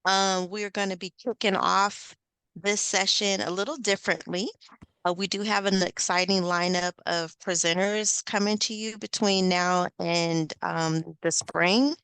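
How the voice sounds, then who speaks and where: Slow, gravelly, bright-voiced woman host. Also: mid-range voice, steady tone, dry recording, poor audio. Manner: upbeat, encouraging, leans on filler words. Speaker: host and facilitator. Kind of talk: hosting an online info session. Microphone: video call.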